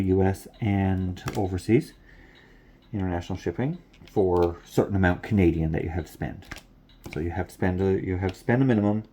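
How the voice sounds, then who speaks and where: Low-voiced congested guy rambling at home, bit of faint hiss behind. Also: dark tone. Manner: rambling, repeats himself. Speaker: craft vlogger. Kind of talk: casual chat to camera. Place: home room at night, lamp on.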